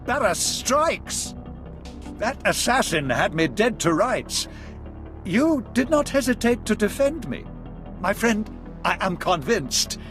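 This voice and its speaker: An actor playing a genteel, scheming Sith lord in a video game, speaking in a formal, courtly and theatrical way. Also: male voice, sits high, sing-song, takes it slowly, music in the background, heavily acted. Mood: warmly impressed. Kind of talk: video game cutscene acting.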